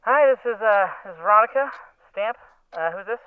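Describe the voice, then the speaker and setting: Man as a fast, high-pitched breezy woman reporter. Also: expressive, smooth. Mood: casual, friendly. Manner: casual, rapid, offhand. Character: breezy, brisk female newspaper reporter. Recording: thin, phone-like radio sound.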